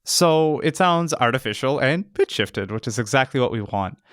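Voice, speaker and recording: Smooth, clear male tutorial host. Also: mid-range voice, animated, normal speed, fairly bright tone, dry recording, studio quality. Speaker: tutorial host, sound designer. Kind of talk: instructional video narration, casual. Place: close, dry voice-over recording.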